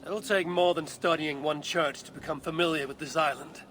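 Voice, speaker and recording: An actor playing a blunt, distrustful Viking warrior in a video game, speaking in a curt, sardonic, challenging way. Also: male voice, a little high, steady tone, normal speed, a bit bright, slight background noise, natural voice. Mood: wary, impatient. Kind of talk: acted game cutscene dialogue.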